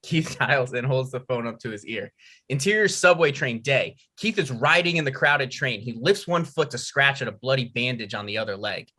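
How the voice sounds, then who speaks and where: A man's voice: mid-range voice, lively intonation, normal speed, pushed and bright. A man narrating a script's stage directions at a table read.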